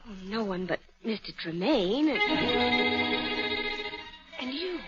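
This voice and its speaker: A woman's voice: mid-range voice, big pitch swings, takes it slowly, over loud music. An actress playing an emotional, impulsive young society woman in a dramatic 1940s radio melodrama. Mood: accusing. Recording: dull 1940s radio recording.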